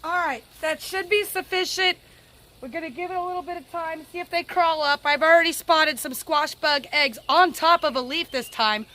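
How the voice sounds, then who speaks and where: A woman's voice: very high-pitched, moderate pace, sharp tone. A woman with a cold and sore throat, giving a folksy, casual how-to talk as a gardening vlogger outdoors in her garden. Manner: folksy, informal, chatty.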